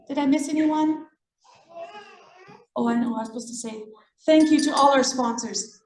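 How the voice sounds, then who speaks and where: A woman's voice: high-pitched, moderate pace, a little mellow, slight background noise. A woman hosting a live children's storytime and sing-along, chatty and playful as she gives the audience instructions. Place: echoey indoor room at a library event. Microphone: event microphone. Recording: echoey room, music behind.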